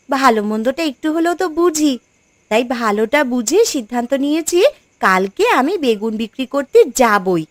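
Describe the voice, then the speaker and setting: A woman's voice: sits high, fairly bright tone, no room sound. A woman voicing a stubborn young village girl in a cartoon, arguing insistently with her family. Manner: insistent, argumentative, determined.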